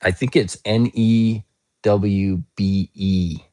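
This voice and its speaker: A man with a hoarse, scratchy voice, hosting a casual live-stream English lesson and patiently answering viewer comments, often spelling words out. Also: on the low side, fairly even intonation, takes it slowly, warm tone. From United States.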